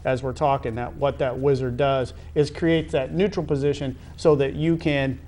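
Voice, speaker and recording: A man hosting a sports interview, asking long conversational questions in halting, wordy phrasing with repeated words. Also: mid-range voice, fairly even intonation, a little mellow. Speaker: interview host.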